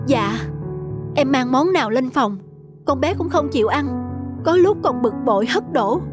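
An anxious cartoon mother, plaintive and helpless with worry. Her voice is high, with faint music behind it. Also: lively intonation, unhurried. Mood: worried, helpless. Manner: plaintive, worried. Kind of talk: cartoon dubbing.

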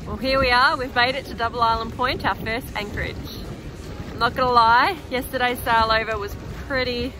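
A woman's voice: fairly high pitch, fairly even intonation, moderate pace, over music. A woman, a sailing vlogger narrating and talking to a handheld camera on a beach in a plain, matter-of-fact way. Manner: plain, matter-of-fact storytelling with light laughs. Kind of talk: scripted voice-over narration and casual vlog talk. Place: outdoors on a beach. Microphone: camera held at arm's length.

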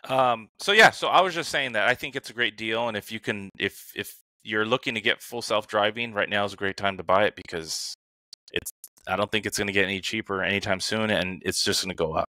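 A man's voice: fairly low pitch, fairly quick, pushed and bright, dry recording. A man co-hosting a podcast over a remote call, telling stories casually and acting out his inner dialogue animatedly. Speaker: podcast co-host. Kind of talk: casual podcast chat, anecdotal storytelling.

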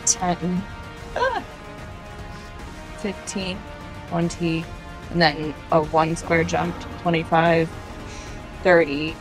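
A woman's voice: on the low side, animated, very slow, pauses often, poor audio. A woman playing a tabletop game, counting out moves casually. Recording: loud music over the voice. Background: prominent music score.

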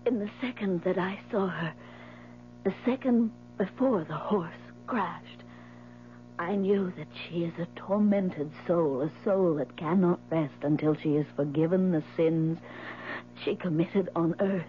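An actress playing a devoted dying wife, solemn and theatrical, with halting pauses, in a 1970s radio drama. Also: female voice, fairly low pitch, lightly acted. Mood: haunted. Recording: muffled old radio recording, mains hum.

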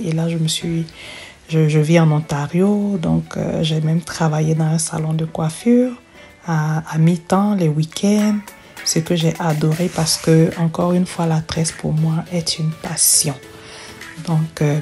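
Low-voiced woman telling her braiding story. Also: warm tone. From Africa. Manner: chatty, repeats words when restarting. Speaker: hair braiding vlogger. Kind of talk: personal storytelling voice-over.

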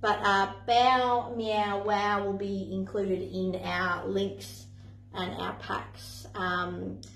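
A young woman, a playgroup facilitator, tells a picture story for toddlers and their parents in an animated, sing-song way, with lots of exclamations. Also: medium pitch, very slow, recorded from a distance, a little hiss or hum. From Australia. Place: indoor playroom, in front of a screen. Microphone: far camera. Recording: echoey room, voice from afar.

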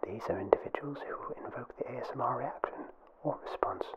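A young man narrating a scripted ASMR information film in a soft, breathy whisper with a formal, deliberate, mock-official delivery. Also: mid-range voice, lo-fi sound. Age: young adult. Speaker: ASMR video creator, film presenter.

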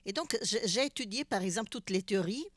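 A middle-aged woman speaking as a panelist at a festival round table, halting and repeating words, into a handheld mic. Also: mid-range voice, lively intonation, fairly bright tone, dry recording, clean studio sound. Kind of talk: panel discussion. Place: festival stage with backdrop.

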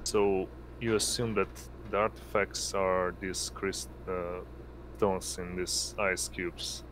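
Deep voiced guy running an rpg online, slow and halting, bit of noise behind him. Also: little pitch movement. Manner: halting, searching for words. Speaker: game master. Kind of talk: improvised tabletop game narration.

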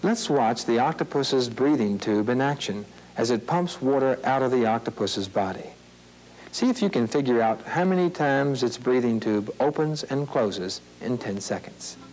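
A man reading scripted nature narration for children in a measured, explanatory, plain-worded way. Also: medium pitch, lively intonation, a little mellow, poor audio. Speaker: narrator of an educational film series. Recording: hissy, humming old videotape soundtrack.

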